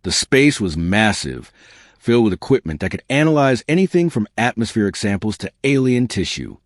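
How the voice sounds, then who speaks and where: Man narrating with lively, deep delivery. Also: moderate pace, no echo, clean studio sound. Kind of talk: scripted audiobook narration of science fiction.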